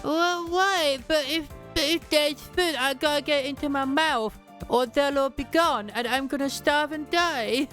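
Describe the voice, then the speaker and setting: A woman's voice: high voice, unhurried, fairly bright tone, faint music behind, pitched above the performer's own voice. A woman voicing a whiny, indignant little girl who is greedy for food in a game fan dub.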